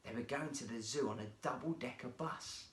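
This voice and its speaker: A man narrating a children's story as a guest storyteller, in an animated, theatrical manner. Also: medium pitch, a little fast, a little hiss or hum. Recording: roomy, echoey sound.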